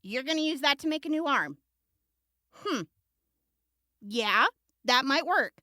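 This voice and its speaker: A woman's voice: sits high, lively intonation, measured pace, bright, forceful tone, no echo, clean studio sound, heavily acted. A woman playing a hesitant, agreeable young wasteland traveller in an audio drama, speaking informally. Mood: shy, agreeable. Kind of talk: post-apocalyptic audio drama acting.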